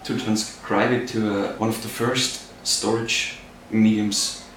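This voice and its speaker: A man's voice: lowish voice, flat delivery, slow pace, fairly bright tone. A man from Austria with an Austrian accent, a native German speaker, explaining a project as a sound artist. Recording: roomy echo, faint noise.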